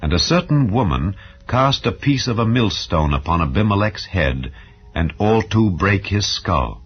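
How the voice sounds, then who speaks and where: Deep-voiced male scripture narrator, muffled sound. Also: lively intonation, unhurried, faint music behind. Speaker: narrator of a dramatized audio Bible. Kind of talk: dramatized scripture reading.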